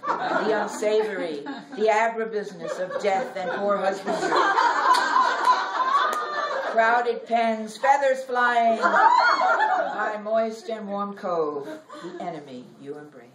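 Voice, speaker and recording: A middle-aged woman giving a live poetry reading in measured, deliberate phrases with dramatic pauses. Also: mid-range voice, sing-song. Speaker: poet reading own work. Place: library reading room. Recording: roomy, noisy recording, distant from mic.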